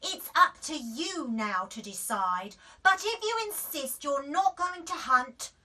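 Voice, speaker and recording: A woman's voice: high voice, lively intonation, takes it slowly, pushed and bright, distant mic, exaggerated voice. A woman voicing a stern, worried mother lioness in a storybook, speaking emphatically.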